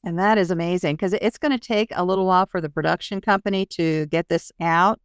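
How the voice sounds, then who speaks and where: A woman's voice: on the low side, fairly even intonation, fairly quick, clean tone, dry recording, studio quality. A woman hosting a podcast interview in casual talk, affirming and appreciative toward her guest. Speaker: podcast host.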